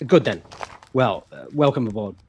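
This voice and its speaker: A man's voice: fairly low pitch, expressive, moderate pace, a little mellow, a little hiss or hum. A man playing an impatient, blustering chief executive in a comic musical audio drama. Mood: stiff, corporate. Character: exasperated, blustering male company chief executive.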